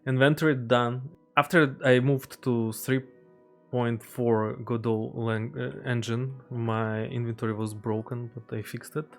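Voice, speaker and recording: A man who is not a native English speaker, an indie game developer talking through his game in a casual devlog voice-over, halting often with fillers and restarts. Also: medium pitch, steady tone, faint music behind.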